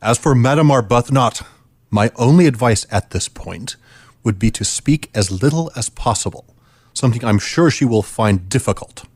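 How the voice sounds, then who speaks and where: Man playing a droll, animated city marshal. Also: mid-range voice, moderate pace, slightly rough, no echo. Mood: commanding, wry. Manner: droll, formal, hearty. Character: wry, authoritative male city marshal. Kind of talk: old-fashioned radio play acting.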